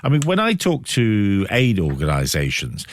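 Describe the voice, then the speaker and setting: A middle-aged man interviewing on the radio, putting long, reflective questions with deliberate, emphatic phrasing. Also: fairly low pitch, huge pitch swings.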